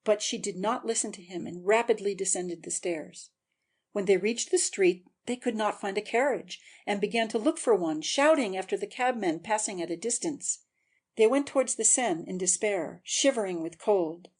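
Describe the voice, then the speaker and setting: Woman narrating a classic story, medium pitch. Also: normal speed, darkish tone. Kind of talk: scripted dramatic audiobook narration.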